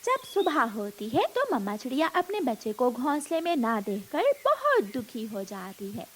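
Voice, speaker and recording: A woman's voice: a little high, wildly swooping pitch, normal speed, breathy edge. A woman reading a scripted children's story as its narrator. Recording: noisy with hiss.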